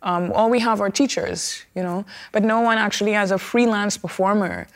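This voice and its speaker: A woman from Trinidad and Tobago with a Trinidadian accent, a guest speaking thoughtfully in an interview and often pausing with fillers and restarts. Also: mid-range voice. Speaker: opera singer, guest. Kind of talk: conversational interview.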